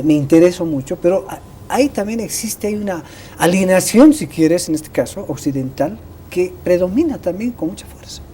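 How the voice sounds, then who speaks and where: A woman's voice: fairly low pitch, mellow, breathy edge. A woman with a Bolivian Spanish accent, hosting an interview in a conversational way and feeling her way through her questions. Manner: hesitant, reformulating questions. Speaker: interview host.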